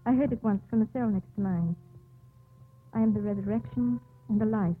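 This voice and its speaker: A woman's voice: mid-range voice, brisk, husky, close to an everyday voice. Actress as a bitter, condemned young woman. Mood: solemn, fatalistic. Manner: brooding, dramatic. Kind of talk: 1950s radio drama acting. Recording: phone-like 1950s radio recording, music behind.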